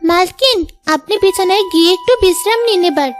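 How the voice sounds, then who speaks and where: A protective young cartoon housemaid, played by a woman, with a very high voice. She is watchful and earnest as she advises, over soft music. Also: animated, a little fast. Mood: concerned. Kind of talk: Bengali cartoon dialogue.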